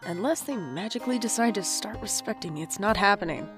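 A woman's voice: medium pitch, expressive, a little fast, music in the background, natural voice. A woman acting as a sardonic, stubborn older sibling in a naturalistic audio drama, with a dry, sarcastic delivery. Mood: angry, insistent. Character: sardonic, guarded older sibling, stubborn and bitter about parents.

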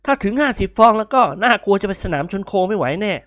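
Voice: medium pitch, lively intonation, quick pace, fairly bright tone, dry recording, studio quality, voice pushed up, lightly acted. A reader voicing a cheeky young man who jokes and brags in an audiobook. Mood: gloomy joking. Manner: teasing, bragging banter. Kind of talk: audiobook character voice.

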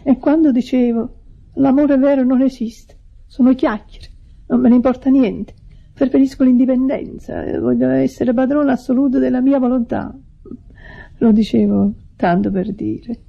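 An actress playing a devoted, lonely sister in an Italian radio drama, husky and earnest, with a hurt confessional manner. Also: female voice, sits high, fairly even intonation, normal speed. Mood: sad, confessional. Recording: dull vintage radio drama recording.

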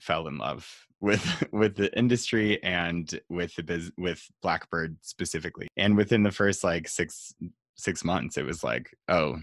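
A man's voice: low voice, measured pace, a bit bright, no room sound, clean studio sound. A young man from rural Nevada chatting casually on a podcast, rambling with many fillers and restarts. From rural Nevada, USA. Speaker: marketing staff guest.

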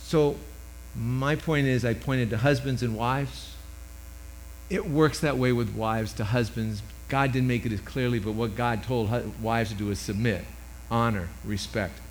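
A man in his sixties with a loud voice, preaching a sermon into a microphone with emphatic swings between loud and hushed. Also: medium pitch, fairly even intonation, unhurried, slight background noise, low-quality recording.

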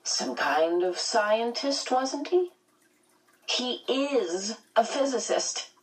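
A woman's voice: a little high, expressive, takes it slowly, recorded from a distance, pitched above the performer's own voice, rough recording. A woman voicing a defiant, guarded teenage schoolgirl in an audiobook, with blunt, combative delivery. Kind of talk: audiobook character voice.

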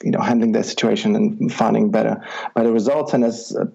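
A man's voice: lowish voice, steady tone, fairly quick, gravelly, warm tone, breathy edge. A man from the Republic, a life coach talking as a podcast guest over an online call, hesitant with many ums. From Czech Republic. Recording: dull lo-fi online call audio.

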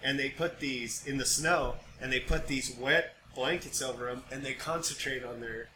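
Bright-voiced American man in an echoey room. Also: mid-range voice, fairly even intonation, moderate pace. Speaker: podcast co-host. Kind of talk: casual conversational podcast chat. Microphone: picked up by another's mic about five feet away. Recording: distant, roomy pickup with background noise.

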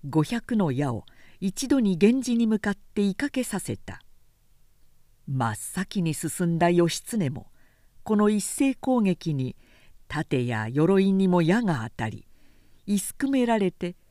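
A woman recites a classic war tale as narrator. The reading is measured and theatrical, slow in pace with very expressive pitch, in a dry, close recording. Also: no echo. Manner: measured, theatrical, carefully phrased.

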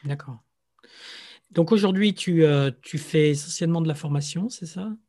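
A man interviews a guest, his voice sitting a touch higher than most men's. He keeps the talk casual and moves it along with short prompts and questions. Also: normal speed, dark tone.

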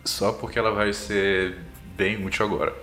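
A man's voice: fairly low pitch, little pitch movement, moderate pace, sharp tone. A man with a Brazilian accent narrating a tabletop game as game master. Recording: roomy echo, music underneath.

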